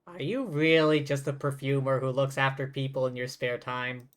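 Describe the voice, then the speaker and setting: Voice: mid-range voice, fairly even intonation, normal speed. A game streamer giving casual live commentary in short, wry asides.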